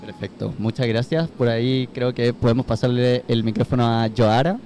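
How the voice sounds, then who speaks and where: A man moderating a live conference panel, courteous and brisk as he hands the microphone from speaker to speaker. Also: mid-range voice, fairly even intonation, mellow, a little hiss or hum. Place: conference venue.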